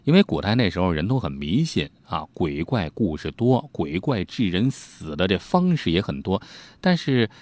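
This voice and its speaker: A man telling old tales informally, in an animated, colloquial way with teasing asides to his listeners. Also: low voice, normal speed, no echo. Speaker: storyteller, host. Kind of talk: informal storytelling narration of old ghost tales.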